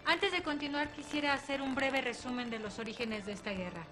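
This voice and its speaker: A woman co-hosting an educational interview, asking clear, informative questions. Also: high voice, fairly even intonation, brisk. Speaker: co-host. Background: music underneath.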